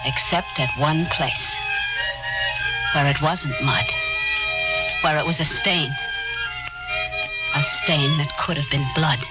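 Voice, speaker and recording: A woman's voice: deep voice, steady tone, takes it slowly, pauses often. An actress playing a scared young woman narrating and acting in a 1940s radio mystery, tense and breathless. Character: frightened young woman carousel worker. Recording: muffled 1940s radio with loud music.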